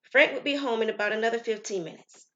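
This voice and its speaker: A woman narrating a scripted story as storyteller, in a measured, expressive way. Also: fairly high pitch. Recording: slightly muffled, lo-fi.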